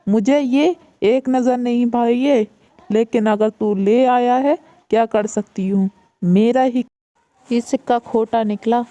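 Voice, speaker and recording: A woman's voice: a little high, takes it slowly, mellow, no room sound. A woman playing a stern, scornful mother-in-law. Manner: scolding, dismissive. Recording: dull lo-fi recording.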